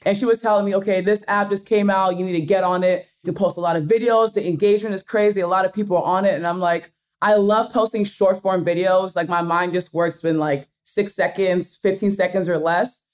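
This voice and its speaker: A young woman guest, a content creator with Nigerian American roots, chats in an energetic, animated way. Her voice sits at a middle pitch. She tells stories with frequent giggles. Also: brisk, hardly any pauses. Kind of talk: casual interview chat.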